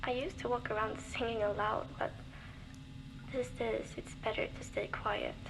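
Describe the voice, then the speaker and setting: A weary, swearing survivor woman, slightly high gravelly voice, dry humour, hissy cassette tape recording. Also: fairly even intonation, unhurried. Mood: lonely. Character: lonely, worn-down woman survivor in a frozen wasteland. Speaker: game character recording audio diaries. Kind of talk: scripted voice acting as a diary log.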